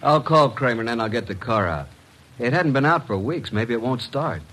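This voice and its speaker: An actor playing a breezy, plain-spoken detective's assistant in an old-time radio drama. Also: male voice, mid-range voice, animated, normal speed, a bit bright. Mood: easygoing. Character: breezy, practical detective's assistant, a working man. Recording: noisy vintage radio recording.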